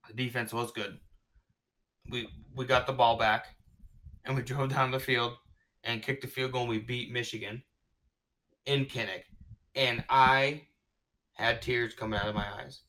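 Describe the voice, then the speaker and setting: An excitable man hosts a casual sports chat, gushing over his picks and tripping into restarts as he talks. His voice sits in a middle range. He sounds set back a little from the microphone. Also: medium pitch, measured pace, long pauses, far from the mic, low-quality recording. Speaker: podcast host.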